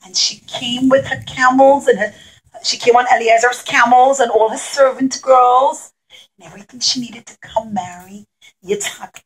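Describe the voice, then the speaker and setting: A woman with a high voice tells a puppet-show story to young children. She uses simple words and repeated phrases, in the animated way of a children's storyteller. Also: moderate pace, rough recording.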